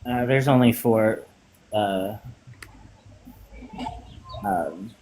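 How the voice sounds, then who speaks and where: A man hosting a casual live-stream chat, speaking in a halting way with many pauses and ums. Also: fairly low pitch, a little mellow, slight background noise, poor audio.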